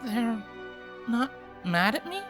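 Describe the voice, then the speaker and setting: A young unicorn princess acted by a man speaks in a high, swooping voice over music. She is a nervous, earnest little sister, soft and hesitant, in a fantasy storybook reading. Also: sing-song, slow pace, full character voice. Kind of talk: audiobook character voice in fan fiction. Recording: music playing behind the voice.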